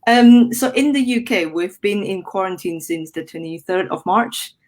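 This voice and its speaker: A woman's voice: medium pitch, normal speed, a little mellow. A young woman from Malaysia, a guest engineer in a podcast interview, explaining things in a friendly way with many "um"s and repeated words. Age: young adult.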